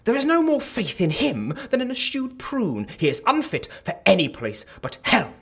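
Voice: medium pitch, wildly swooping pitch, normal speed, vocal fry, a bit bright, breathy edge, full character voice. An actor playing a timid, stammering courtier in a comic audio drama, with theatrical archaic diction. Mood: vitriolic, building. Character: timid, trembling courtier who unleashes elaborate Shakespearean insults.

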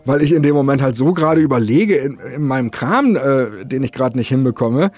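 A man's voice: mid-range voice, lively intonation, a little fast, a little mellow. A man hosting a casual podcast, talking in a rambling, self-deprecating way that jumps from thought to thought. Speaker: podcast host.

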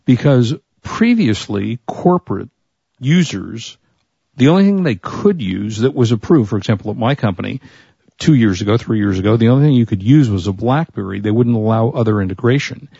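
A man co-hosts a relaxed tech talk show with a husky voice that is starting to give out. His tone is mellow and dark. The recording is dry and a little dull. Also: mid-range voice, normal speed, no echo. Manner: chatty, offers product rundowns and opinions. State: losing his voice. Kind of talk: casual tech chat.

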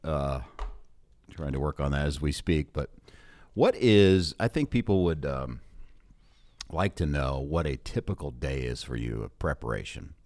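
A man's voice: rumbling low, lively intonation, a little slow, a little mellow. An American man chatting casually as he hosts an interview, in a jokey manner with chuckles as he talks. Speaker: podcast host, sports broadcaster.

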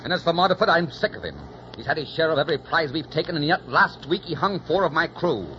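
An actor playing a ruthless, vengeful pirate captain in 1940s radio style, barking orders imperiously. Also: male voice, fairly high pitch, lively intonation, fast, pushed and bright. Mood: angry, commanding. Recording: dull, noisy 1940s radio recording.

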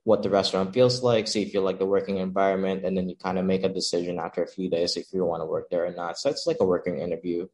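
Young male guest from the Philippines, deep voice, easygoing and chatty, lots of fillers. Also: fast, hardly any pauses. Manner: relaxed, laughs often, many fillers like "um" and "like". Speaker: chef and pop-up owner, guest. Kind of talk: casual interview chat.

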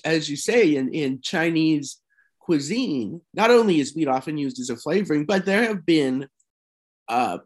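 A man interviewing a guest, chatting easily and asking curious follow-up questions. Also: mid-range voice. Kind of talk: conversational interview.

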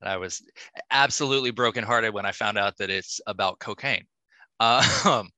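A man's voice: on the low side, moderate pace, sharp tone, no echo. A man hosting a podcast, chatting casually and putting questions to a guest. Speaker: podcast host. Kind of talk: casual interview chat.

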